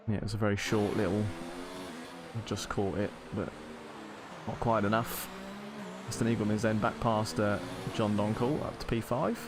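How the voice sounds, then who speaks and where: A young man commentating an online racing league in a relaxed, chatty, self-deprecating way. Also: fairly low pitch, moderate pace, noisy background.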